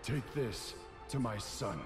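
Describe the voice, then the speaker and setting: A man's voice: mid-range voice, expressive, slow pace. An actor playing a noble human warrior king in a video game cinematic, grave and commanding. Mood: grim resolve. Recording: loud orchestral music behind voice.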